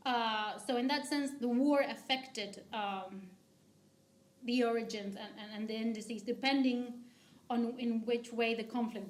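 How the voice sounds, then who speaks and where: A woman from Argentina giving academic commentary as a discussant, halting often with restarts and fillers. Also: on the high side, reverberant. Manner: hesitant, many restarts and fillers. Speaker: discussant at a book talk.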